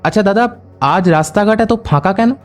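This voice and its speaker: An actor playing a thoughtful, decisive private detective in an audio drama, speaking tersely and deliberately. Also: male voice, high voice, natural voice. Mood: casual. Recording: music under the voice.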